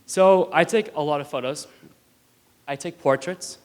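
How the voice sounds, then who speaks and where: A young man in his twenties speaks to an audience from a stage mic, his stutter catching on words and syllables as he pushes through. His voice is smooth and resonant, and it carries a long hall reverb behind it. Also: fairly high pitch, measured pace. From India. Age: 20s. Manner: earnest, lightly joking with the audience. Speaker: student speaker. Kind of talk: prepared stage talk. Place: auditorium stage.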